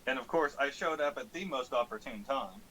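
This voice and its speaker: A man's voice: medium pitch, fairly quick, slightly rough, poor audio. A man in a casual, informal chat, recapping a tabletop game as a player and swearing lightly. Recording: steady audible background noise.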